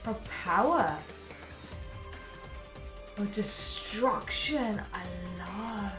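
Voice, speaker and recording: A woman's voice: medium pitch, expressive, very slow. A woman reacting casually to game trailers in a playful, gushing way full of admiring exclamations. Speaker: gaming video reactor. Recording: roomy echo, game music behind. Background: game trailer music.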